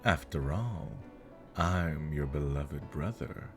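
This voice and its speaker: A man's voice: rumbling low, slow, music in the background, full character voice. A man playing a smug, teasing elder demon nobleman with mocking politeness and flirtatious charm, in an ASMR fantasy roleplay. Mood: amused, mocking.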